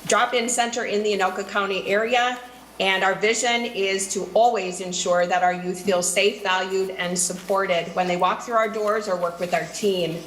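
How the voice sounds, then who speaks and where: A woman of mid pitch delivers earnest, prepared testimony, now and then dropping in an um. The hearing room adds a noticeable echo, and faint ambience hums underneath. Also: fairly even intonation, moderate pace, a bit bright, a little hiss or hum. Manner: earnest, fluent, with filler ums. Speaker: nonprofit director testifying.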